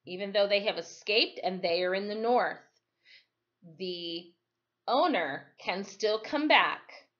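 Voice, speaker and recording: A woman teacher reading a storybook aloud in a deliberate, dramatic way, with explanatory asides and checking questions. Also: mid-range voice, a bit bright. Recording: dull, lo-fi sound.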